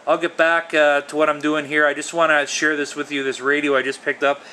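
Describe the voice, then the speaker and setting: A man's voice: on the high side, level tone, a little fast, bright, forceful tone, a little hiss or hum. A man casually showing off a product hands-on, talking informally and with enthusiasm. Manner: informal, enthusiastic, mild swearing. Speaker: gadget reviewer.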